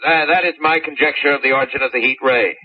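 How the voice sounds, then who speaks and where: An actor playing a learned professor, explaining carefully and deliberately in a 1930s radio drama. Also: male voice, mid-range voice, steady tone, no room sound, close to an everyday voice. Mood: grave. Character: learned male astronomy professor, measured. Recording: lo-fi 1938 radio broadcast, distant mic.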